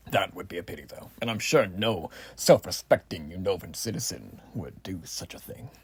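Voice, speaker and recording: A man playing a zealous, courteous revolutionary war soldier in an ASMR roleplay exam, speaking softly and breathily with formal, old-fashioned phrasing. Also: low voice, expressive, moderate pace, heavily acted. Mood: polite, probing. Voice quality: soft, breathy, often near whisper. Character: zealous, folksy revolutionary war soldier examining a new recruit.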